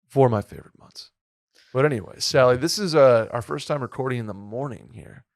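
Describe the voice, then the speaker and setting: A man co-hosting a podcast in casual chat, with a hoarse, slightly nasal voice and a rambling, upbeat way of talking. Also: mid-range voice, brisk, no room sound, clean studio sound. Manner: rambling, filler-heavy, upbeat. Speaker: podcast co-host.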